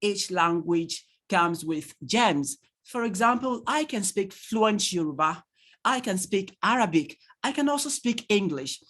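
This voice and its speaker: A male tutor with a Nigerian accent leads an online session for parents over a computer mic. He talks in an animated way, stacks rhetorical questions and laughs easily while reading the chat. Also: sits high, a little slow. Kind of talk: online training lecture to parents.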